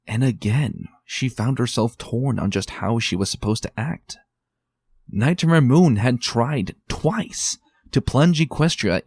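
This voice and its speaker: A man narrating a dramatic reading of a fan story in a measured, steady storytelling manner. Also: medium pitch, creaky, husky, dry recording, studio quality.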